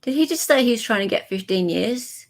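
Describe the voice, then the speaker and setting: A woman's voice: on the high side, animated, normal speed. A woman host from Australia giving casual live commentary, sympathetic and emphatic, repeating phrases for stress.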